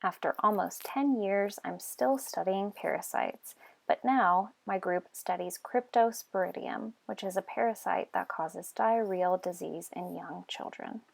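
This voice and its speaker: A woman in her thirties from Texas with an American accent, telling her own story as a researcher in steady, measured scripted narration. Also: medium pitch, gravelly. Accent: American, Texan. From Texas, United States. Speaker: lab group leader, researcher.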